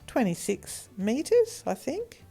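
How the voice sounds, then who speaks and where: A woman's voice: high voice, wildly swooping pitch, slow, gravelly, warm tone, slightly rough, soft background music, pitched above the performer's own voice, exaggerated voice. A woman voicing an eager, knowing young farm boy with a matter-of-fact manner in a children's story.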